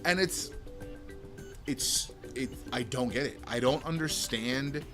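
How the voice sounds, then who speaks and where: A man hosting a tech show in casual, rambling commentary with a loud speaking voice, often repeating and restarting his phrases, close to a microphone. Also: mid-range voice, takes it slowly, faint music behind. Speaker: tech video host. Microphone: microphone close in front.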